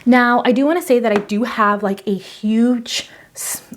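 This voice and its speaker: A woman's voice: a little high, a little slow, noisy background. Chatty woman in her thirties reviewing makeup. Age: 30s. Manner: chatty, filler-heavy, breezy. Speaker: beauty vlogger. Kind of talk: casual product review.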